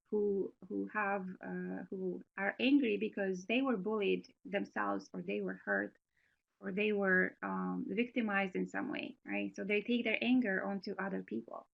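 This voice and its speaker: A woman's voice: medium pitch, gravelly. A woman with a Romanian accent giving a webinar talk on parenting, earnest and hesitant with lots of fillers and restarts. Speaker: guest presenter on parenting programs. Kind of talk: webinar presentation with audience Q&A. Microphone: video call. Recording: slightly muffled online call audio.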